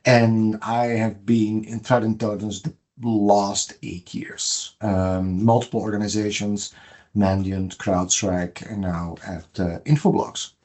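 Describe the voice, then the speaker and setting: A man's voice: lowish voice, flat delivery, takes it slowly, a little mellow. A middle-aged man from Belgium with a Flemish accent, a guest expert on a podcast, explaining step by step with frequent fillers and restarts. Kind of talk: podcast interview.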